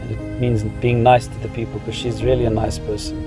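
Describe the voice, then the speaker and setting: A man in his fifties with a Zimbabwean accent tells personal stories for a vlog. His pitch sits in the middle, and he explains things casually as he reminisces. Music plays clearly behind his voice. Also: flat delivery, fairly quick. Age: 50s. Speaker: vlogger.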